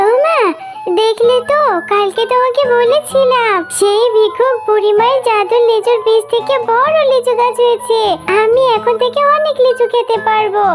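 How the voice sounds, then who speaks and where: A woman's voice: very high-pitched, fairly even intonation, normal speed. A woman voicing an innocent, eager little fox girl in a children's cartoon, with a childlike, pleading, chatty way of talking. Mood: excited. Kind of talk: Bengali children's cartoon voice acting. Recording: music playing behind the voice.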